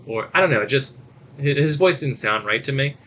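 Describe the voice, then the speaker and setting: A man with a bright, forceful voice at an average pitch talks through a film as a podcast co-host. He is casual and rambles haltingly through the story, slipping into quick quoted lines as he goes. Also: expressive, slight background noise. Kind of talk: casual movie review chat.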